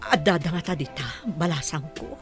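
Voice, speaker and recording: A woman's voice: low voice, normal speed, music in the background, lightly acted. Actress as an affectionate Ilocano village mother. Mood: eager. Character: affectionate, eager middle-aged village mother. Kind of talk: Ilocano radio drama acting.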